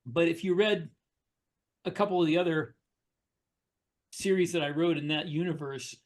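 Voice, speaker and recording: Man with a slightly high voice, thinking aloud. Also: fairly even intonation, moderate pace. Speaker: podcast co-host and science fiction author. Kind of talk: casual discussion of fiction writing.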